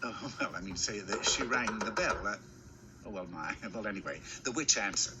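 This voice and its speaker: A man's voice: fairly low pitch, animated, normal speed, over a noisy crowd, natural voice. A man playing a wry, mock-dramatic narrator of a cartoon fairy tale, scripted and theatrical. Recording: noisy old cartoon soundtrack.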